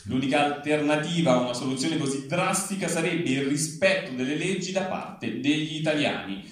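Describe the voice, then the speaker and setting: A man's voice: fairly high pitch, moderate pace. Man reading mock news in a distant echoey hall. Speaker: reader at a public reading. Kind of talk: satirical mock newscast, read aloud.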